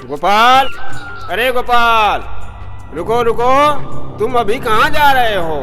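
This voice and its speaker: A man's voice: very high voice, expressive, slow, pushed and bright, full character voice. A man voicing a self-righteous, nagging temple priest in a children's cartoon, with a scolding, sarcastic, pompous manner. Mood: nosy. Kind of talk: Hindi children's cartoon dubbing. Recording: music behind the voice.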